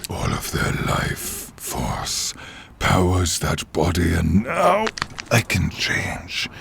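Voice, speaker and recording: A man voicing a contemptuous, menacing undead warlord with a gravelly, breathy rasp in a scripted fantasy roleplay. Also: deep voice, huge pitch swings, noisy background, exaggerated voice. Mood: gloating menace. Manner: menacing, slow, theatrical. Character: contemptuous undead male warlord with a bitter grudge against knights.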